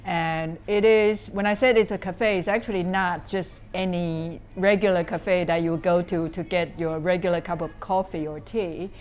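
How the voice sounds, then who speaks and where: Lowish-voiced woman with a Taiwanese accent. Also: fairly even intonation, normal speed, hardly any pauses, a little mellow, slight background noise. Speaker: interviewee, cafe owner. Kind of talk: informal explanatory interview talk.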